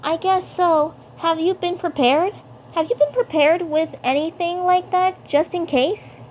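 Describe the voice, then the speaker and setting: A woman puts on a young superhero girl from a cartoon, the leader sister. The pitch is lifted high, the manner firm yet caring and soothing. Faint noise sits behind the slow delivery. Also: darkish tone. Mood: questioning.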